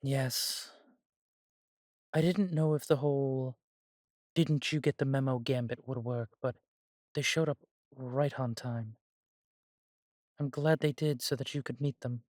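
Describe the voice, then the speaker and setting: A man playing a humble, self-doubting immortal elf who runs a coffee shop in a fantasy audio drama, talking in a gentle, chatty way. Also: medium pitch, steady tone, unhurried, pauses often, dry recording, clean studio sound, close to an everyday voice. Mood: hushed curiosity.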